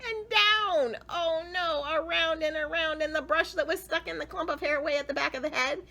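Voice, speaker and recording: A woman reading a picture book aloud to children, expressively, with drawn-out emphasis. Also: sits high, sharp tone. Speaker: children's storyteller.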